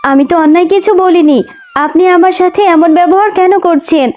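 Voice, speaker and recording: A woman's voice: extremely high pitch, fairly quick, a little mellow. A woman voicing a poor, sorrowful widowed mother fox, plaintive and pleading, in a children's cartoon story. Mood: hurt, reproachful. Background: music.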